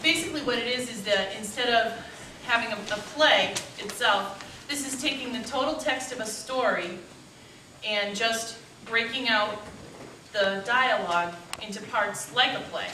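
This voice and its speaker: A woman's voice: fairly high pitch, moderate pace, bright, forceful tone. A woman giving an informal, grateful welcome speech as the producer introducing a live performance. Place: meeting house hall with live audience. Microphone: distant microphone. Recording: echoey hall, distant mic, noisy audience.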